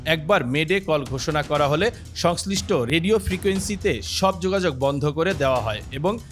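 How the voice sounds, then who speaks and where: Male news narrator, slightly high pitch, scripted explainer read over a prominent music bed. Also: animated, moderate pace.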